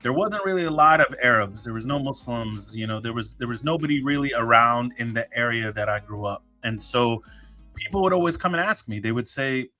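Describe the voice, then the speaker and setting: Man, podcast host, bright forceful voice, casual joking chat, lots of ums and restarts, faint music behind. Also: mid-range voice, animated, fairly quick. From United States. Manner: chatty, joking, many fillers and restarts. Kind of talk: casual solo podcast talk.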